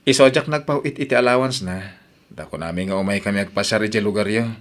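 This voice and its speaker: A man's voice: fairly low pitch, quick pace, natural voice. A man playing a confused friend who keeps asking questions, in a radio drama. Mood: puzzled. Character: puzzled man named Mark, the wife's friend.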